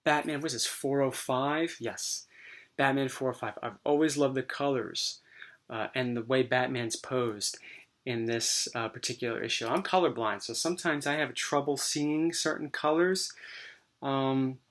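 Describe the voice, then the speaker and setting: Man with a dry, hoarse voice. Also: medium pitch, measured pace. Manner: relaxed, rambling, many fillers. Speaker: comic book collector vlogger. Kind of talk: casual show-and-tell chat.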